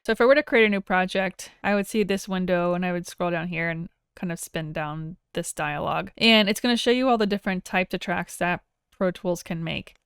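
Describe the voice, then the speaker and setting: Woman, plain-spoken instructor, informal explanatory narration, exceptionally smooth and clear, very dry close sound. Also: fairly low pitch, dry recording. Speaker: audio software instructor. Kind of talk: informal screen tutorial narration.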